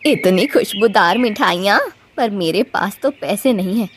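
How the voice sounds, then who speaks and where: A grumbling old granny bird from a children's cartoon, acted by a woman. She is stern and greedy, quick to scold and complain, at a middling pitch. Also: a little hiss or hum, close to an everyday voice. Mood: greedy, scheming. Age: older. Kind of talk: Hindi children's cartoon voice acting.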